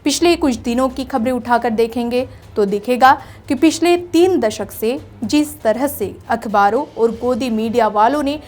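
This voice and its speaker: A woman presenting a scripted news report in an earnest, rhetorical manner. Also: a little high. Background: background music.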